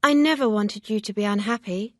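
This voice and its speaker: A woman's voice: medium pitch, a little fast, a bit bright, no room sound, clean studio sound, voice pushed up, close to an everyday voice. A woman reading an audiobook, voicing a proud, earnest young woman who speaks with firm emphasis.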